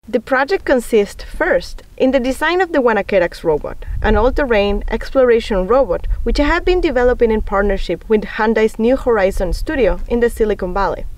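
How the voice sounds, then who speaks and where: A woman's voice: mid-range voice, a little mellow. A woman from Argentina with an Argentine Spanish accent, a native Spanish speaker, narrating an artist's project from a script. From San Juan, Argentina. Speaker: interdisciplinary artist.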